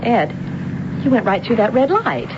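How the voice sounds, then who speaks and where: An actress playing an alarmed wife riding in a car in a radio drama. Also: female voice, on the high side, very animated, normal speed. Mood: alarmed, frantic. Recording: muffled old radio recording, vehicle noise. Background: vehicle noise.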